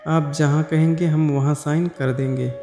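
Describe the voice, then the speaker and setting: Male narrator as a courteous son-in-law in a family tale, polite, mellow, reverberant over music. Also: on the high side, fairly even intonation, moderate pace, natural voice. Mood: reassuring. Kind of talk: moral story narration.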